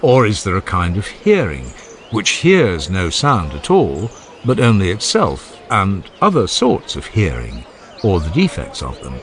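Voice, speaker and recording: A man's voice: low-pitched, huge pitch swings, measured pace, slight background noise, heavily acted. A man reading the part of an earnest, genial ancient philosopher who questions relentlessly, step by step, in a dramatized audiobook dialogue. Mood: questioning, emphatic. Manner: rhetorical, emphatic, step-by-step questioning.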